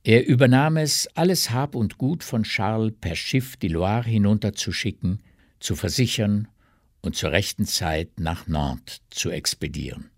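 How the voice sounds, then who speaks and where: Male narrator for radio play, lowish pitch, close dry studio sound. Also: expressive, a little slow, creaky, dry recording. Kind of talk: scripted literary narration for radio drama.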